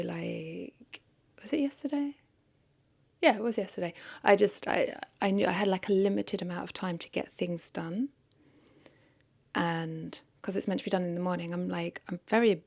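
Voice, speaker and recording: A woman's voice: on the low side, normal speed, creaky, darkish tone. A woman talking casually in an unscripted vlog, rambling and correcting herself as she thinks aloud. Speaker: content creator, vlogger.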